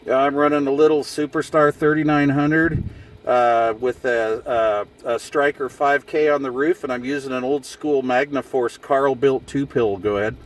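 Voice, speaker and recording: A man's voice: mid-range voice, fairly even intonation, normal speed. A man chatting casually in CB radio slang with a folksy, jokey manner. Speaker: CB radio operator filming a vlog. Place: outdoors in a vehicle on a hillside. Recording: distant mic with background noise.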